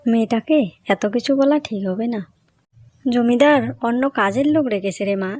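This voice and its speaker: Woman playing a high-voiced, worried village mother. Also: moderate pace, a little mellow, no room sound, close to an everyday voice. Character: poor worried village mother, humble and loving. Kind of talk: Bengali cartoon story voice acting.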